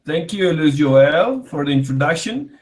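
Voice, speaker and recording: A man's voice: fairly high pitch, very animated, unhurried, poor audio. Man politely moderating an online meeting. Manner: brief and polite, calling on each speaker. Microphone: video call.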